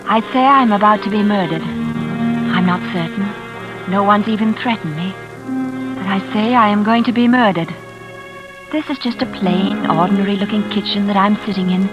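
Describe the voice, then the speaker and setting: An actress playing a sweet-natured young engaged woman in a 1940s radio suspense drama, earnest, polite and softly dramatic. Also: mid-range voice, moderate pace, dull audio, close to an everyday voice. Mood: fearful, intense. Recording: vintage radio drama with music behind.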